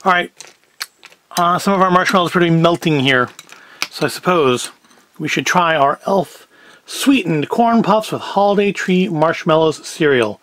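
A man's voice: fairly high pitch, moderate pace, a bit bright. A man, chatting casually through a food review in a wry, self-deprecating, rambling way. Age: 40s. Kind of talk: casual review chat to camera.

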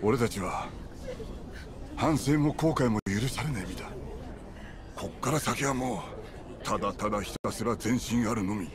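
An actor voicing a gruff, hot-headed male rebel leader who talks in rough, blunt street speech in a game cutscene. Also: fairly low pitch, moderate pace, pauses often, crowd noise, close to an everyday voice. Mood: resolute. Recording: loud background game music.

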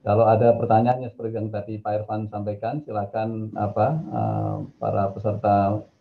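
A man's voice: fairly low pitch, normal speed, gravelly. A middle-aged man with a Javanese accent giving an informal, conversational online lecture over a video call. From Indonesia. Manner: conversational, mixes in English phrases. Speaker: guest lecturer, academic. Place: indoor office on a video call. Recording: tinny, phone-like video-call audio.

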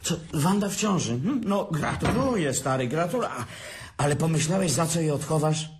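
A man's voice: fairly high pitch, expressive, moderate pace, background noise, natural voice. A man playing a bitter, sneering drunk brother. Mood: taunting. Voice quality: sneering, laughs often. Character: bitter drunk older brother, mocking and cruel. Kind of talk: radio drama acting.

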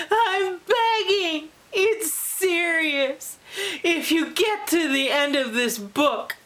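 A desperate storybook character begs and pleads in a high, wildly swooping voice, as a woman reads it aloud. Every line is drawn out very slowly and theatrically. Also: a bit bright, exaggerated voice.

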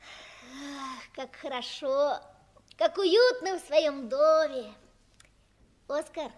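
Dreamy, high-voiced little orphan boy. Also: animated, very slow, heavily acted. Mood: happy, longing. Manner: wistful, childlike. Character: dreamy nine-year-old orphan boy, eager and trusting. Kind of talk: 1960s radio play acting.

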